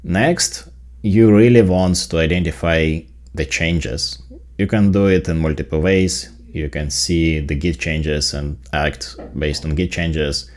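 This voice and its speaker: Very deep-voiced Ukrainian man explaining tech. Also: fairly even intonation, slow pace, a little mellow. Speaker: tech video creator. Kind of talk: casual software tutorial narration.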